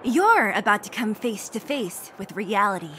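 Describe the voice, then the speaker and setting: A woman's voice: medium pitch, very animated, moderate pace, sharp tone, a little hiss or hum. An actress playing a tough, blunt, matter-of-fact young woman fighter in a scripted video game. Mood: curt, challenging. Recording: background music under the voice.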